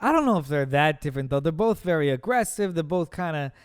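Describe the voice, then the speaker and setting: A man's voice: on the high side, lively intonation, fast, clean tone, dry recording. A young man co-hosting a podcast, rambling and hesitant in casual banter. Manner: rambling, hesitant, lots of fillers and restarts. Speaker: podcast co-host.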